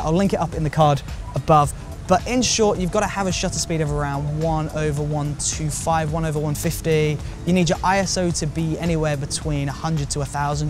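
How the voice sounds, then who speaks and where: A man with a slightly croaky voice from a cold, chattily and encouragingly answering viewer questions in a casual vlog. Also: sits high. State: recovering from a cold. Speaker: video creator host answering viewer questions. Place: outdoors in public urban spots.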